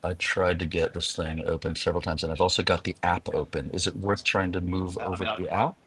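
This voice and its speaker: A man chatting as a livestream guest over a video call, relaxed and easygoing. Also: low-pitched, brisk, distant mic, crowd noise. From New York area. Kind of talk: casual conversation.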